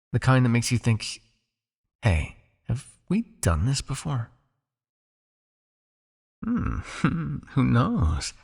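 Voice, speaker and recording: A man playing a possessive, teasing vampire captor in an intimate ASMR roleplay, coaxing the listener with a creaky voice. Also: medium pitch, heavily acted. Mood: teasing, intrigued. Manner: coaxing, teasing, with pauses, sighs and murmured asides.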